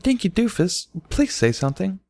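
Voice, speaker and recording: A man plays a little filly in an audiobook. The voice is pushed high above normal speech. She is a loyal, outspoken child who defends her friend. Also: big pitch swings, moderate pace, darkish tone, dry recording. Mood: pleading.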